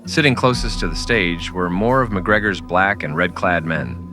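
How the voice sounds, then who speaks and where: A man narrating a scripted western in old-time radio style, with dramatic, deliberate storytelling. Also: sits low, music in the background.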